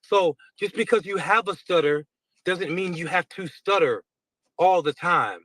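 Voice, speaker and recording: A man on a podcast panel, talking defensively and slowly, with careful pauses. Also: sits high, animated, fairly bright tone, no echo, poor audio. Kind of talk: heated podcast panel talk.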